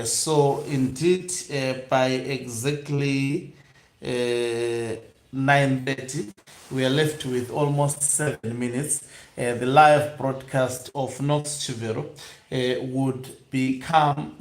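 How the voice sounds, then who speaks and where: A man hosting an online news broadcast, reading aloud and commenting live in a halting, hesitant way with drawn-out pauses. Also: medium pitch. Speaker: online news channel host. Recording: echoey room.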